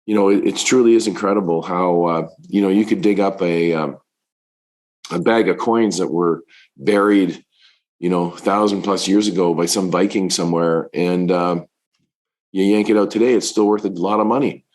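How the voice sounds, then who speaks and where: A middle-aged man, a fund manager, answering interview questions in a halting way with many fillers, his voice deepened by illness. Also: fairly even intonation, darkish tone. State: recovering from covid, voice deepened.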